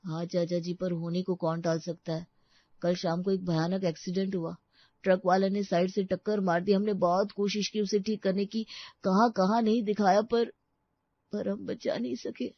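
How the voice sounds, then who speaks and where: A woman's voice: medium pitch, level tone, moderate pace, no echo, lo-fi sound, close to an everyday voice. A woman playing a mild, respectful adult son who talks politely and hesitantly in a story. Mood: subdued, hesitant. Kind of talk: Hindi audio story dramatization.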